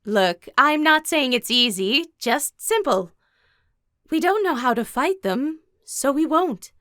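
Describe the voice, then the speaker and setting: Woman acting a composed young heroine, highish voice. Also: animated, slow pace, bright, forceful tone, no room sound, clean studio sound, full character voice. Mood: thoughtful, reassuring. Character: composed, caring teenage girl warrior telling her story. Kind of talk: first-person scripted narration and dialogue.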